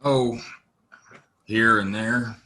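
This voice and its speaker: A man acts out a laconic Old West drifter for an audio play. His voice sits low and quiet. He gives away little, answering in clipped, guarded phrases. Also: steady tone, extremely slow, exaggerated voice. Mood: cool, guarded. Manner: terse, low-key, guarded. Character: laconic, mysterious trail rider in the Old West. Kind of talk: Western audio play acting.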